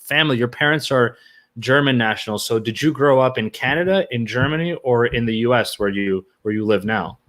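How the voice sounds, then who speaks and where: A man's voice: medium pitch, brisk, fairly bright tone. A man from the United States hosting an interview show, asking long, rambling, friendly questions. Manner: rambling, many fillers and restarts, friendly. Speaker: podcast host. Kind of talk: casual interview conversation.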